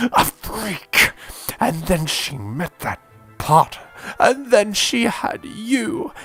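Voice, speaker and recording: A man's voice: sits high, a bit bright, full character voice. A man voicing a bitter, indignant, resentful aunt in a theatrical, melodramatic manner, in a comedy parody.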